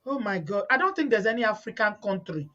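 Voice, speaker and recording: Host, woman, bright forceful voice, repeats herself for emphasis, slightly lo-fi. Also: mid-range voice, very animated, fast. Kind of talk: casual chat. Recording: slightly dull lo-fi sound.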